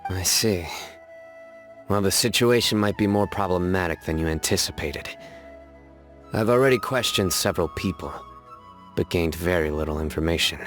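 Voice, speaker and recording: A man's voice: deep voice, moderate pace, fairly bright tone, soft background music. A man voicing a stern, serious male judge in a video game, speaking in a terse, matter-of-fact way.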